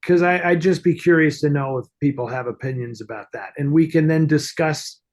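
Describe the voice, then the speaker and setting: A man hosting a casual, unscripted talk show, speaking in a halting, discursive way as he searches for his words. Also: fairly high pitch. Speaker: show host, film expert answering fan questions. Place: at home, upstairs room.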